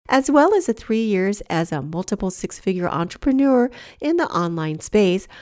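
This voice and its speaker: A woman's voice: mid-range voice, darkish tone, no echo, studio quality. A woman hosting a podcast, a little sniffly from a cold, who reads an earnest, enthusiastic introduction and then moves into casual interview chat. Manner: earnest, enthusiastic, with a few fillers and restarts. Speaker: podcast host.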